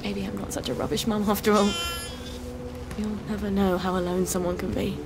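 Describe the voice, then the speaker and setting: An actress playing a tender, weary young British mother in a video game, hushed and intimate as she soothes her baby. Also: mid-range voice, level tone, brisk, natural voice. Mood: tender, relieved. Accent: British English. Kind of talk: video game character acting. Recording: game audio under loud music.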